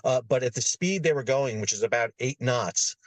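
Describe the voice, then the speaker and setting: A man's voice: medium pitch, normal speed, no echo. A man giving explanatory interview answers as an expert for a news report.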